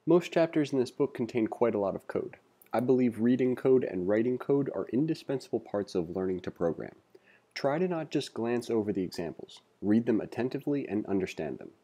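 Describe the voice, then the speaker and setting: A man's voice: medium pitch, animated, a little fast, warm tone, husky. A man hosting a casual read-along tutorial, reading aloud and adding informal asides to the viewer. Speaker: tutorial host.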